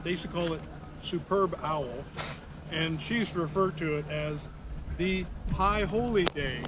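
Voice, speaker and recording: An eager man chats outdoors, jumping in to agree and add his own stories. His voice is somewhat high. Clear street and traffic noise sits behind him. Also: a little slow. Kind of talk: casual chat.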